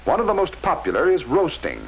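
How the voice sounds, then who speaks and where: A dull, slightly noisy vintage film soundtrack carries a man narrating in 1940s training film style. His voice sits a little high and he explains in a measured, didactic manner, enunciating each term with care. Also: huge pitch swings.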